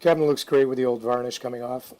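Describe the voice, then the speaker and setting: A man's voice: mid-range voice, steady tone, very fast, mellow. A man giving casual how-to narration for a DIY project, informal and unscripted, as if thinking aloud. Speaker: DIY restoration video narrator.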